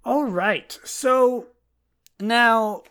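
A man with a raspy, worn-out throat hosting a casual solo episode. He rambles with frequent restarts and self-deprecating asides, and sometimes reads a written write-up aloud. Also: very high-pitched, drawn-out words, fairly bright tone. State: hoarse, raspy throat from talking a lot. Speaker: podcast host.

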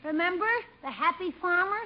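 An actor playing a bossy, sharp-tongued young nephew in a 1950s radio comedy, in a pert, nagging manner. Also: male voice, extremely high pitch, a little fast. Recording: muffled, noisy old radio recording.

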